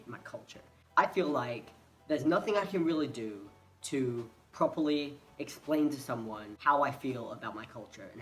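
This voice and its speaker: A young man from Hong Kong talks to his phone camera in a loose, rambling vlog, often stopping and starting over as he searches for words. His pitch sits in a middle range. Faint music plays in the background. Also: a bit bright. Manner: hesitant, rambling, restarts often. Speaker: vlogger.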